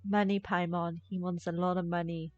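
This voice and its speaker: A woman's voice: fairly low pitch, flat delivery, normal speed, highs cut off, soft background music. A woman giving casual, jokey live commentary as she plays a game, reacting aloud to it. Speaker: game streamer.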